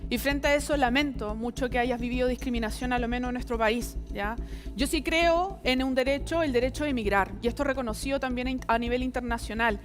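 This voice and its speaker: A woman's voice: on the high side, a little fast, over music. A woman with a Chilean Spanish accent, a debate candidate speaking directly and with empathy to the audience member. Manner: empathetic, direct, addressing the asker. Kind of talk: conversational debate answer.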